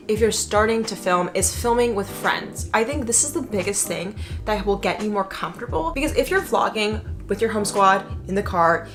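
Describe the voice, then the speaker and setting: A woman's voice: on the high side, a bit bright. A young woman giving a casual vlog tutorial to camera, chatty, slangy and emphatic. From Indonesia. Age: young adult. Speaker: vlogger and content creator.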